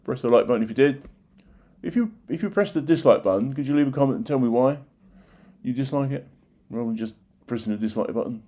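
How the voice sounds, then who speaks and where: A man's voice: medium pitch, quick pace, long pauses, warm tone. An older man, presenting local history in informal narration with plain-spoken conversational asides. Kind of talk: informal narration of old newspaper clippings.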